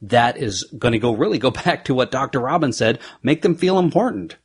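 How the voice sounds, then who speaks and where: A man's voice: mid-range voice, expressive, fairly quick, husky. A man hosting a solo podcast, talking through lessons in an earnest, motivational, conversational way. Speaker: podcast host.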